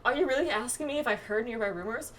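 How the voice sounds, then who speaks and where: A woman voicing a wistful, gentle young clanswoman from a fantasy game, reading the dialogue aloud a little haltingly. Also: fairly high pitch, recorded from a distance, slight background noise, voice pushed up, lightly acted. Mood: curious, teasing.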